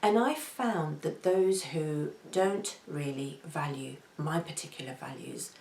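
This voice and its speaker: A middle-aged woman with a very soft voice, talking gently and slowly to the camera from her home as a vlogger. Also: low voice. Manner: speaks slowly and gently, gestures with both hands. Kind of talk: reflective personal talk to camera. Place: home living room, indoors. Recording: roomy echo.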